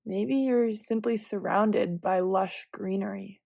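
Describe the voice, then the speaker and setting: A woman leading a guided visualization meditation, giving calm, gentle, measured instructions with long pauses between phrases. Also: mid-range voice, creaky, no echo.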